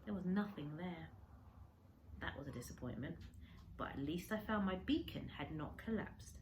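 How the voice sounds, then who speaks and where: Lady teacher reading a kids book out loud, deep-ish low voice, dramatic emphasis, bit of a noisy recording. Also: animated, normal speed, lo-fi sound. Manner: dramatic emphasis on descriptive words. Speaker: primary school teacher reading aloud. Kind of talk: expressive children's storybook reading.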